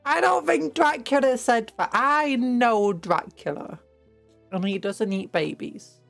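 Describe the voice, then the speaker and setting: A young British woman chatting on a livestream, her voice a little croaky. She rambles playfully, stumbling over restarts and now and then breaking into squeals. Faint music plays behind her. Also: on the high side, slow pace. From Britain. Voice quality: croaky from hay fever. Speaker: streamer painting miniatures. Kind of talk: casual livestream chat.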